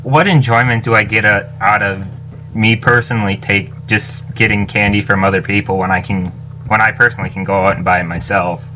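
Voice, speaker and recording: A man's voice: fairly low pitch, steady tone, fairly quick, sharp tone, faint music behind, rough recording. A man talking casually on a podcast, rephrasing himself as he goes.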